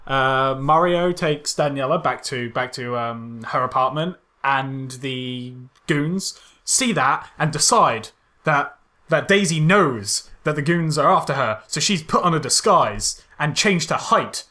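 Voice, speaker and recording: A man co-hosting a casual comedy podcast about films, retelling things haltingly with sarcastic asides. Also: fairly high pitch, lively intonation, sharp tone. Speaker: podcast co-host. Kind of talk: casual comedic film critique chat.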